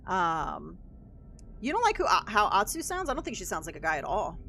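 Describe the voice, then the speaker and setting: A young adult woman with a deeper voice, livestreaming a game and chatting casually with lots of "like" and "um". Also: big pitch swings, a little fast, vocal fry, bright, forceful tone, slight background noise. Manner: rambling, chatty, many "like" and "um" fillers. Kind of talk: casual chat while gaming.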